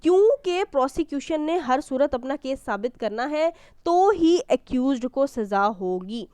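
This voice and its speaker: A woman's voice: high voice, expressive, measured pace, a little mellow, no echo. A woman giving an explanatory law lecture, reading out provisions and explaining them didactically with rhetorical questions. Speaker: law teacher.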